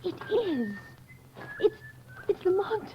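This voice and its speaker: A woman's voice: very high-pitched, very animated, slow, full character voice. An actress playing an anxious, determined, devoted young woman in an old radio drama, urgent and earnest. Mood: frantic, worried. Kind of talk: 1940s radio acting. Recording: muffled, noisy old radio recording.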